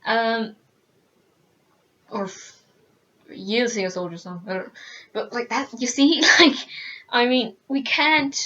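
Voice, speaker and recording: A woman's voice: fairly high pitch, slow pace, pauses often, pushed and bright. Teenage Irish girl ranting, lo-fi. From Ireland. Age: teens. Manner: earnest, frequent fillers and restarts. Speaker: student vlogger. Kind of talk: casual rant to camera.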